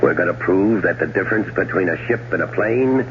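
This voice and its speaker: Deep-voiced suave radio schemer. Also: male voice, lively intonation. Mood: coolly menacing. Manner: suave, sardonic, deliberate. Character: scheming, cold-blooded older brother plotting his wife's murder. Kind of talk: 1970s radio drama acting and first-person narration. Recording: dull, noisy old radio broadcast recording.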